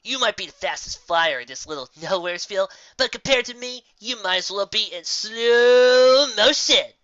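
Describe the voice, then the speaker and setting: Sneering griffin rival played by a woman in a story, slangy and dismissive, raised pitch with a forceful edge. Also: animated, moderate pace, no echo, muffled recording, lightly acted. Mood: taunting. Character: cocky, dismissive young female griffin.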